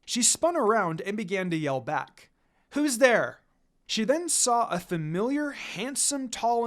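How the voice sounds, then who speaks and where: A narrator reading a fan fiction story aloud in a dramatic style. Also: mid-range voice.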